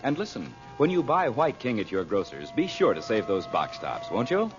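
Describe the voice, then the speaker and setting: A man's voice: medium pitch, big pitch swings, normal speed. A man announcing on an old radio serial in a polished, theatrical style, like an advertiser and story narrator. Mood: smooth selling. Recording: muffled old radio recording with music.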